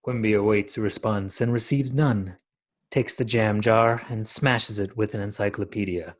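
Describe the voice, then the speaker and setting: Low-voiced man narrating stage directions. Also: fairly even intonation, moderate pace. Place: indoor room at home. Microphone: video call.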